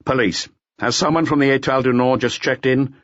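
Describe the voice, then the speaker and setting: A man's voice: mid-range voice, steady tone, a little fast, fairly bright tone, dry recording, highs cut off, voice pushed up, natural voice. A man voicing a terse, unimpressed police inspector in an audiobook, speaking in a curt, laconic manner. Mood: curt, businesslike.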